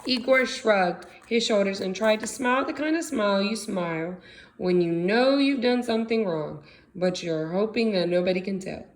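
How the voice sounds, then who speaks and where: A woman's voice: mid-range voice, moderate pace, slight background noise, rough recording. A woman with an American accent reading a storybook aloud expressively, as a teacher does for young children. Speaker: second grade teacher.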